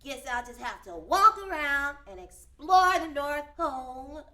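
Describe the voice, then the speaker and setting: Lady playing a sulky sarcastic kid left behind, high sharp voice, slow delivery, audio drama. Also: big pitch swings, heavily acted.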